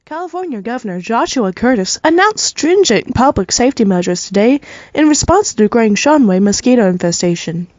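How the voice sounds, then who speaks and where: An actress playing a formal news radio anchor reading a scripted bulletin in an audio drama. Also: female voice, fairly high pitch, darkish tone, no room sound, muffled recording, lightly acted.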